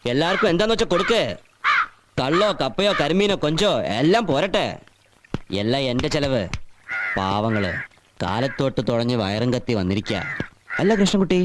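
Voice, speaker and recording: A man's voice: mid-range voice, animated, normal speed, long pauses, close to an everyday voice. Actor as a boisterous big-spending man, noisy clip. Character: boisterous generous man treating everyone to drinks. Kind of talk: film comedy dialogue. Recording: noisy audio lifted from film.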